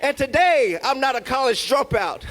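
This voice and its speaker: A man's voice: very high voice, lively intonation, normal speed, fairly bright tone. A man in his thirties giving a motivational address to graduates, hollering emphatically with great confidence. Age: 30s. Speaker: commencement speaker.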